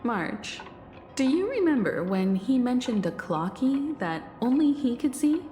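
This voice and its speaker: A woman playing a composed, perceptive mentor in a video game, poised and deliberate as she explains. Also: fairly high pitch, sing-song, dark tone. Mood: gently probing. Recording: echoey hall-like reverb, music behind.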